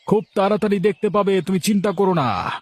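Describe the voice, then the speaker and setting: Man voicing an indignant higher-pitched village schemer. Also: animated, brisk, darkish tone, husky, dry recording. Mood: scheming, boastful. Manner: indignant, boastful, emphatic. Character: aggrieved, envious village man plotting a rival food stall. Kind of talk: animated moral story dialogue.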